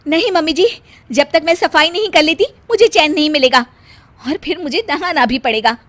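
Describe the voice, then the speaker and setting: A woman's voice: high voice, expressive, normal speed, a bit bright, pitched above the performer's own voice. A woman voicing a fussy, anxious young wife in an acted moral story, emphatic and insistent about cleanliness. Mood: anxious, determined.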